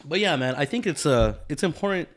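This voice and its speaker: A man's voice: fairly high pitch, animated, a little fast. Slangy, casual man hosting a podcast. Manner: slangy bro talk, peppered with "dude"; profane, joking. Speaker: podcast host. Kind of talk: casual chat.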